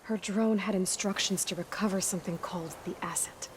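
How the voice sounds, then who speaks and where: A woman's voice: mid-range voice, steady tone, brisk, background noise, close to an everyday voice. An actress playing a determined, guarded young female explorer in a video game, speaking in a matter-of-fact, focused way. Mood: focused, musing. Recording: game music behind the voice.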